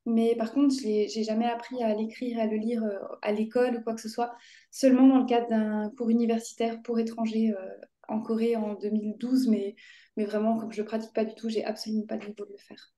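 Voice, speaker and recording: Woman novelist in her thirties, mellow flat delivery, halting, echoey room. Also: fairly high pitch. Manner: halting, many restarts and fillers. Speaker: novelist guest. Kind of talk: hesitant reflective talk.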